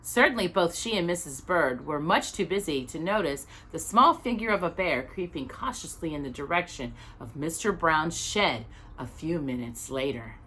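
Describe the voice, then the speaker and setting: Animated woman reading a children's story. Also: on the low side, breathy edge. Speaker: storytime host reading aloud. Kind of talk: expressive children's storybook narration.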